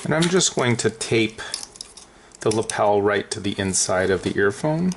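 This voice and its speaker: A man's voice: lowish voice, expressive, measured pace, creaky, a little hiss or hum. A man talking casually and unscripted through a hands-on how-to as an aviation vlogger.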